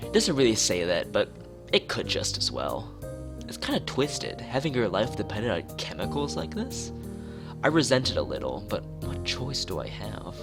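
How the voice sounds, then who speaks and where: A man's voice: lowish voice, huge pitch swings, moderate pace, creaky. A man streaming a visual novel read-aloud, narrating with casual asides and sometimes stumbling over his words. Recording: music behind the voice.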